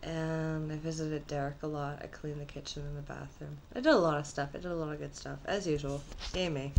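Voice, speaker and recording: A woman vlogging casually from her apartment with a slightly nasal, husky voice, rambling and trailing off mid-thought. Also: low voice, fairly even intonation, brisk, background noise.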